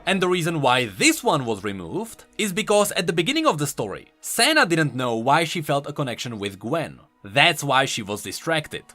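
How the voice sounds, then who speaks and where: A man's voice: fairly high pitch, very animated, normal speed, hardly any pauses, pushed and bright, faint music behind. A man doing an enthusiastic, conversational voice-over commentary as a video host. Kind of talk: game lore commentary voice-over.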